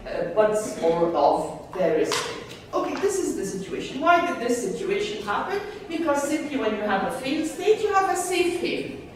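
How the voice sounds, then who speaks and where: A woman from Egypt lectures an audience in an earnest, halting way, starting over and filling pauses as she thinks. Her voice sits at a middle pitch and comes from some distance, with heavy room echo and a faint hum of ambience behind her. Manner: earnest, lecturing, with frequent fillers and restarts. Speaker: political science professor giving a talk. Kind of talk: public talk to a seated audience.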